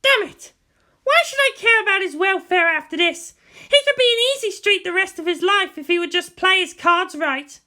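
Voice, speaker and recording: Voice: very high voice, normal speed, pushed and bright, voice pushed up, full character voice. A narrator voicing a proud, anguished teenage girl pilot in an intense, insistent inner monologue for a story reading. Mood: angry, hurt.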